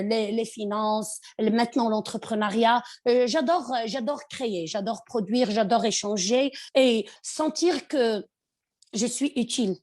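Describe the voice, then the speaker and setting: A woman from Morocco with a Moroccan accent, a podcast guest talking conversationally and haltingly, searching for words and slipping in English. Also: on the high side, a little mellow. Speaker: podcast guest, finance executive. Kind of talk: conversational interview.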